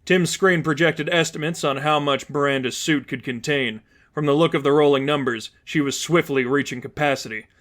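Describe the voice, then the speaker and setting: A man's voice: fairly high pitch, moderate pace, a bit bright. A man reading as narrator, delivering scripted fiction in a storytelling style.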